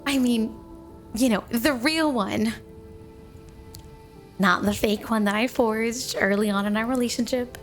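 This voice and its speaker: A woman's voice: on the high side, unhurried, pauses often, soft background music, close to an everyday voice. A woman playing an obsessively devoted snow woman wife in a flirty ASMR roleplay, gushing and cooing with a sweet but possessive manner. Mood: playful, gushing. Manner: gushing, cooing, doting, dreamy.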